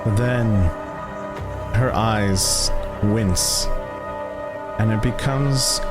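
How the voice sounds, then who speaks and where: A man narrating as game master of a tabletop roleplay, deliberate and dramatic, building suspense in each scene. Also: lowish voice, over loud music.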